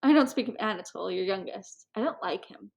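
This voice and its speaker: A woman's voice: mid-range voice, lively intonation, brisk, vocal fry, voice pushed down. A woman reading a storybook as an effusive, courtly society hostess who confides and meddles with an air of melancholy. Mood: gently reproachful.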